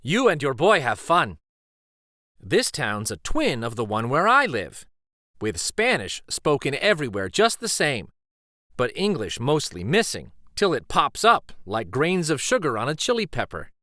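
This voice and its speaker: A man reading a children's picture book aloud with expressive, deliberate storytelling delivery. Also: mid-range voice, dry recording, clean studio sound.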